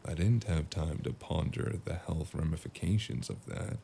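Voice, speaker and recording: A man playing a weary, wry stranger in a cyberpunk noir audio drama, speaking in a dry, deadpan manner. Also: extremely low pitch, moderate pace, gravelly, roomy sound, a little hiss or hum, heavily acted. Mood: brooding narration. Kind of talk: cyberpunk noir narration and dialogue.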